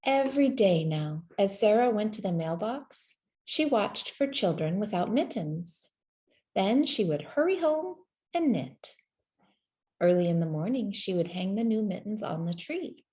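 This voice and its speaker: A woman reading a children's story aloud in a gentle, measured way, with deliberate pauses between phrases. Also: mid-range voice, expressive. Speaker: storybook reader. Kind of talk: scripted children's story narration, read aloud.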